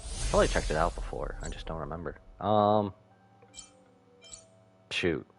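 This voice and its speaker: A man giving casual live commentary as a game streamer, rambling and animated, with jokey asides. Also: low voice, normal speed, soft background music.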